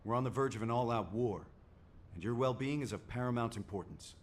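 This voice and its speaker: An actor playing a grave male military commander with a steady, serious briefing tone. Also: medium pitch, fairly quick, close to an everyday voice.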